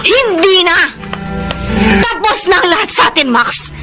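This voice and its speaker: A woman's voice: very high voice, big pitch swings, a little slow, full character voice. A woman with a Filipino accent playing a bitter, defiant single mother confronting her former lover in an emotional, scornful radio drama. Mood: hurt, resolute. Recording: loud music bed behind voice.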